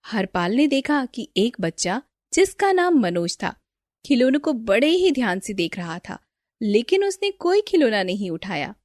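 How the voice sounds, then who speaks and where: Animated, high-voiced woman storyteller. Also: measured pace, no echo, clean studio sound. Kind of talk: scripted narration of children's moral story.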